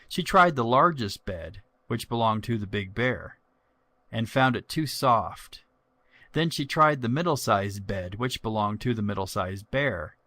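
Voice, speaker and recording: A man narrating a children's story from a script in a measured, expressive way. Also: mid-range voice, dry recording.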